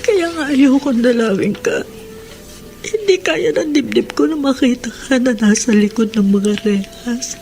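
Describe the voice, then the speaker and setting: A woman's voice: a little high, expressive, a little slow, gravelly, dark tone, soft background music. A woman playing a stern, grieving mother of a jailed son in a Filipino radio drama. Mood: sorrowful, tender.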